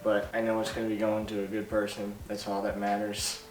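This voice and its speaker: A soft-spoken young man selling his truck, speaking quietly and sincerely. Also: lowish voice, completely flat delivery, brisk. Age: young adult. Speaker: truck seller. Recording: distant, roomy, with a light noise hiss.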